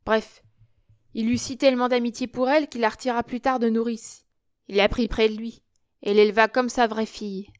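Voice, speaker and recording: A woman reading aloud, voicing a coarse old drunken woman with rough, loud, working-class speech. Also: fairly high pitch, level tone, moderate pace, dry recording, clean studio sound, lightly acted. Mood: pleading.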